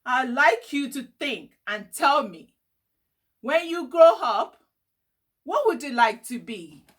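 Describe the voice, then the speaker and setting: Woman as a projecting male schoolteacher, slow and animated. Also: high voice, husky, full character voice. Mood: instructing. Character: male schoolteacher addressing his class.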